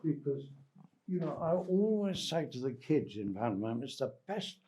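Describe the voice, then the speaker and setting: Higher-pitched man chatting, some background noise. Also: very animated, moderate pace, dark tone. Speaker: pantomime veteran joining the interview. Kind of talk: casual chat.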